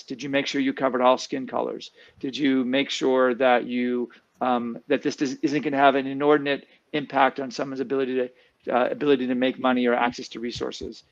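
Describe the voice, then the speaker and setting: Halting reflective man on a dull dry call. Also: medium pitch, no room sound. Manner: reflective, halting, many fillers. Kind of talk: panel discussion on a video call.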